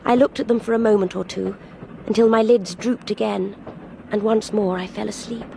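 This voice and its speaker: A woman's voice: mid-range voice, steady tone, moderate pace, creaky, lightly acted. An actress playing a polite, well-bred young English woman traveller in a radio drama, speaking in a reserved, well-spoken way. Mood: subdued, reflective. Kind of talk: radio drama dialogue and first-person narration. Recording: faint train noise behind.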